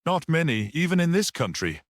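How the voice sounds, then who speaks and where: Guy doing a touchy little storybook suspect, pitched up, snappy and defiant. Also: very animated, normal speed, no echo, studio quality. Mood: retorting. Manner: snappy, growling retorts.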